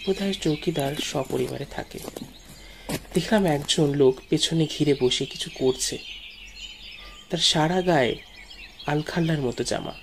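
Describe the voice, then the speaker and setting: A man's voice: a little high, a little slow, gravelly, pauses often, a little hiss or hum. A man narrating a ghost story dramatically in the first person, as a storyteller. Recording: music behind the voice.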